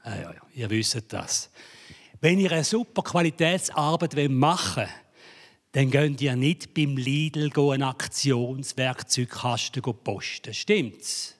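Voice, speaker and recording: A man preaching a sermon in a church hall, joking and building to dramatic emphasis. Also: medium pitch. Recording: reverberant hall.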